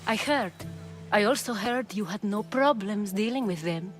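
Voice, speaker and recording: A proud, commanding female spymaster, acted by a woman in a game drama. She speaks with assured authority at a middling pitch over music. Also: expressive, moderate pace, lightly acted. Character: proud, commanding woman leader of Corinthian spies. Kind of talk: video game dramatic dialogue. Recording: music behind the voice.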